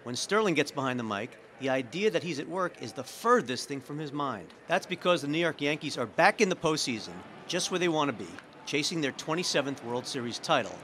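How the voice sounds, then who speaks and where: A man's voice: on the high side, lively intonation, brisk, few pauses, fairly bright tone, slight background noise. A man reading scripted narration for a news feature as a reporter.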